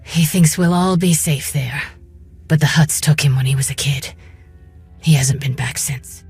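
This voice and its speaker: A woman's voice: deep voice, a little mellow, husky, heavily acted. A woman playing a determined, blunt young rebel fighting for her home, urgent and no-nonsense, in a video game cutscene.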